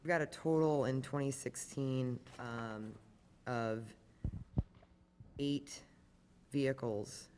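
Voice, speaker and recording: Raspy guy at a council meeting, asks budget questions bit by bit, faint hum behind. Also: mid-range voice, slow pace, pauses often, a little mellow. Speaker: city alderman. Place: council chamber.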